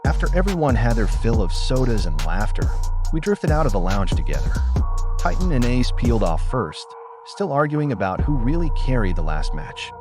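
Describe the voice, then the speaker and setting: Male audiobook narrator, very lively intonation. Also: medium pitch, moderate pace. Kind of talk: first-person scripted story narration. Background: music.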